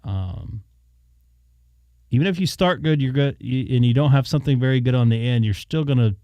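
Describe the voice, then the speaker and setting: A man hosts a hobby live stream in a loose, rambling chat, often thinking aloud mid-sentence. His voice is mellow and dark. It is recorded dry and close on a handheld studio mic. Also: medium pitch, normal speed, dry recording. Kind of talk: casual chat. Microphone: dynamic studio mic held in hand.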